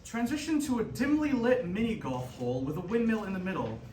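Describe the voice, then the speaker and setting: A man's voice: high voice, animated, fairly quick, darkish tone. A man reading scripted stage directions as narrator at a staged reading. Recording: echoey room, far mic, faint noise.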